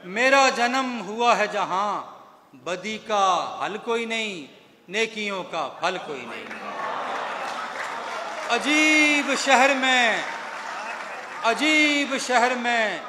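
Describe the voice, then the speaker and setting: A man's voice: sits high, bright, forceful tone. A man, a poet, reciting a ghazal at a poetry gathering in a large hall, declaiming each couplet, repeating lines, rising on the first line and falling at the end. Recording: echoey hall with long reverb.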